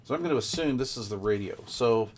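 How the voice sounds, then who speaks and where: Casual guy doing an unboxing vlog, average pitch, rambly and down-home, some clear noise and clicks in the recording. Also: animated, fast talker. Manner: rambling, folksy, conversational. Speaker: product reviewer and vlogger.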